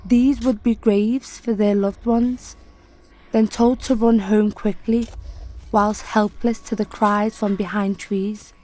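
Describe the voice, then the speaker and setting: A woman poet giving a slow, measured, solemn spoken-word poetry reading. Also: medium pitch, level tone, dark tone, rough recording.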